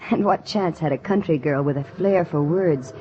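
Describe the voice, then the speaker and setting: A woman's voice: deep voice, normal speed, mellow, slight background noise, close to an everyday voice. An actress playing an earnest, ambitious young country woman in a 1940s radio drama, speaking in a dramatic, wistful and emphatic way. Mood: wistful, dreamy. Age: young adult. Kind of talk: 1940s radio drama acting and first-person narration. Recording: muffled old 1940s radio recording.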